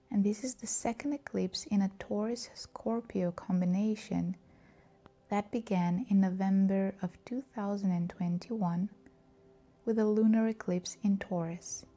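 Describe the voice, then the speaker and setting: A woman leading a scripted guided meditation in a soft, breathy, soothing and calm voice. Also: mid-range voice.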